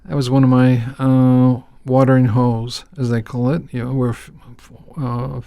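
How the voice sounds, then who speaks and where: A man's voice: medium pitch, little pitch movement, moderate pace, gravelly, dark tone, smooth, no room sound. A man from Texas hosting a casual interview podcast, who stops to explain words and phrases in a teacherly way. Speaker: podcast host.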